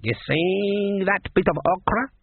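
A man acts out an old voodoo woman in a storybook tale. The voice is lifted high and husky, drawling slowly with cryptic, knowing authority. It sounds roomy and narrow, like a phone line. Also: full character voice. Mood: knowing, commanding.

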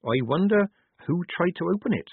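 A man's voice: high voice, expressive, normal speed, breathy edge, no echo, phone-quality sound, voice pushed up, full character voice. A man voicing a practical, level-headed young woman soldier with a dry, urgent manner. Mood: tense.

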